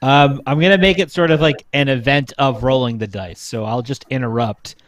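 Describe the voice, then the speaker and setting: A man's voice: mid-range voice, normal speed. A young man in casual chat, jokey and informal, handing out parts to a group. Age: young adult. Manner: jokey, prone to laughing. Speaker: cast member assigning parts.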